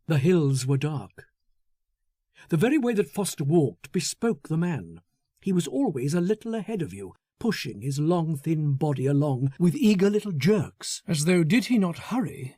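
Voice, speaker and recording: A man narrating a literary story as an audiobook, dramatic, deliberate and intense in his delivery. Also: fairly high pitch, mellow, no echo, clean studio sound.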